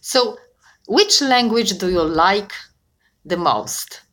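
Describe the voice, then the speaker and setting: Slow, encouraging woman. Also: mid-range voice, animated. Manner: slow, encouraging, asks questions and corrects gently. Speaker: conversation partner. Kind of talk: casual conversation practice.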